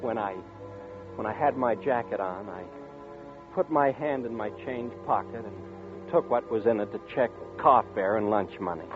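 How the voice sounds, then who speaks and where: A man's voice: fairly low pitch, normal speed, lightly acted. An actor playing an anxious young man haunted by a nightmare, narrating in tense, brooding first person in 1940s radio style. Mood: uneasy. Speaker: narrator and lead character. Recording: tinny old radio broadcast with music.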